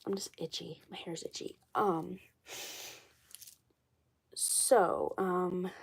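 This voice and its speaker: A woman's voice: on the low side, fairly even intonation, extremely slow, vocal fry, husky. Froggy-voiced young woman with a cold. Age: 20s. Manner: rambling, repeats herself, defensive. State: hoarse with a cold. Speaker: doll collector livestreaming. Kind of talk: casual livestream chat.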